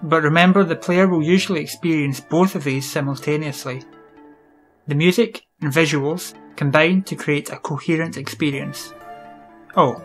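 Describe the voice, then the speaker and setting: A British man hosting an educational video, reading scripted explanations in a measured, instructional way. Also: on the high side, a bit bright. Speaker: video series host. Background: music.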